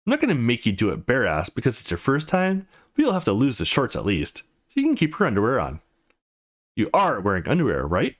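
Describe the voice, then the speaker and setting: A man's voice: mid-range voice, huge pitch swings, a little fast. A man voicing the self-assured male protagonist of a visual novel, reading his thoughts and lines casually and expressively. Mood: bossy. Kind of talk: reading game narration and dialogue.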